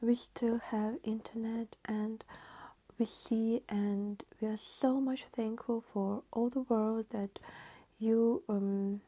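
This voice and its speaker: Ukrainian woman, 30s, Russian native speaker, flat low-key delivery, slightly muffled recording. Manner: slow, halting, with many pauses and fillers; earnest and grateful. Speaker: vlogger. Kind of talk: unscripted personal address to camera.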